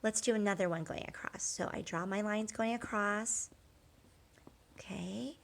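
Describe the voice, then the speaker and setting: A woman's voice: medium pitch, measured pace, vocal fry. Woman teacher guiding a children's drawing lesson. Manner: encouraging, conversational, checks in often with "okay?" and "right?".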